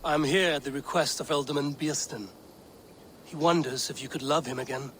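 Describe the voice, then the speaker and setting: A woman playing a steadfast, commanding Viking warrior in a historical game, speaking in measured, blunt, formal phrases. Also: sits low, fairly even intonation, a bit bright, a little hiss or hum. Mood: quiet, earnest. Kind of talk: dramatic period game acting.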